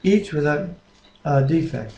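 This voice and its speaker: A man with a slightly high voice speaks as co-host of a home Bible reading. His manner is earnest, reverent and plain. The webcam microphone picks up a very echoey room around him. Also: lively intonation, measured pace, mellow, dull audio. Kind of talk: casual devotional talk, prayer and scripture reading. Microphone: webcam microphone at a distance.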